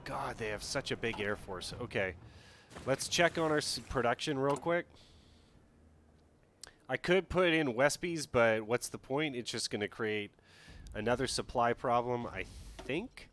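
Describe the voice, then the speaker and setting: A man's voice: mid-range voice, a little slow, fairly bright tone, slight background noise. A man streaming a strategy game live, talking casually and thinking aloud as he plays. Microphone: headset.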